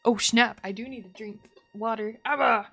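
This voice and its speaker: A woman's voice: fairly high pitch, fairly even intonation, moderate pace, gravelly, bright, forceful tone. Woman, live streamer, casual chat, bubbly and exclamatory, music playing behind. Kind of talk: casual livestream chat with viewers. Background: background music.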